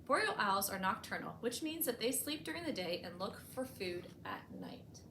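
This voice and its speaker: A woman reading a picture book aloud for children as a storytime host, expressively and with deliberate emphasis, adding short explanatory asides. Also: mid-range voice, sing-song. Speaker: librarian hosting a bedtime storytime. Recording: echoey room with clear background noise.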